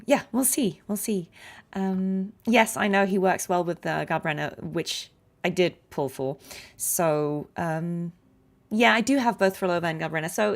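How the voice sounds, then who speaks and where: Slightly low-voiced woman streaming casually. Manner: chatty, musing, informal. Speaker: game streamer. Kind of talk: casual live stream chat.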